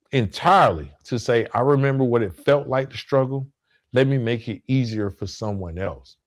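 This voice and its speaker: A man hosting a podcast, giving a semi-scripted motivational monologue in an earnest, emphatic way with repeated phrasing for effect. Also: fairly low pitch. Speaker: podcast host.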